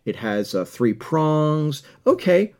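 A man's voice: fairly high pitch, sing-song, slow pace, darkish tone. A middle-aged man hosting a podcast, giving an earnest motivational talk and often repeating words while he finds his phrasing. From United States. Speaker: podcast host. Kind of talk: motivational monologue.

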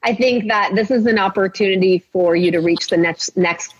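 A woman's voice: mid-range voice, normal speed, husky. A woman guest, a life coach, talking in an encouraging, motivational way in a casual podcast conversation. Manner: encouraging, motivational, talks in long flowing runs. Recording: muffled lo-fi audio.

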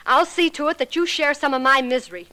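An American actress playing an unhappy, lovesick young magician's assistant, bitter and resigned, in a 1940s radio melodrama. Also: female voice, high voice, fast talker, sharp tone. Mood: bitter, threatening.

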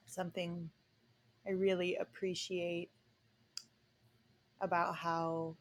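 A woman co-hosting a conversation, speaking slowly and thoughtfully with frequent pauses and fillers. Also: lowish voice, little pitch movement, a little hiss or hum. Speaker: podcast co-host. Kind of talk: casual conversation.

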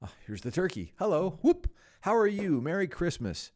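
Storybook role: male reader as an overjoyed, newly generous old man, excitable, bursting out in raised, delighted exclamations. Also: sits high, normal speed, pitched above the performer's own voice. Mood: giddy joy. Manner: exclamatory, gleeful, effusive.

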